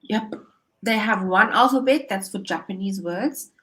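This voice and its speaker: A woman's voice: mid-range voice, measured pace, a bit bright, rough recording. A woman tutoring English over a video call, upbeat and encouraging, often laughing as she explains. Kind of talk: casual conversation lesson.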